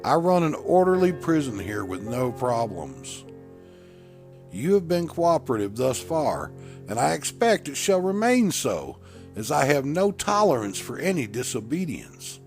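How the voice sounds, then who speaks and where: A man's voice: mid-range voice, very animated, a little slow, darkish tone, faint music behind, voice pushed up. A man voicing a cold, smug prison warden in a horror audiobook, formal, condescending and emphatic. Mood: stern. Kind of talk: dramatic horror audiobook reading.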